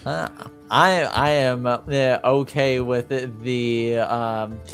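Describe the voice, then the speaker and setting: A man running a tabletop roleplaying game as game master, talking casually in a hesitant, deliberate way. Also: medium pitch, steady tone, fairly bright tone, soft background music.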